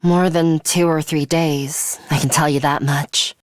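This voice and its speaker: A woman voicing a coolly pragmatic, blunt young female fighter in a video game, speaking in a measured, serious, clipped way. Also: low-pitched, steady tone, dry recording. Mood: calm, clinical. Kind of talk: English video game voice acting.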